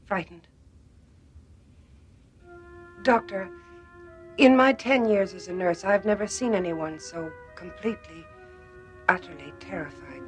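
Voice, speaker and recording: An experienced nurse in a TV drama, played by a woman, with a medium-pitched voice. She is composed and concerned, giving information in a measured, matter-of-fact way over music. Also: lightly acted. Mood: grave. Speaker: actor in a television drama. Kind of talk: naturalistic television drama dialogue.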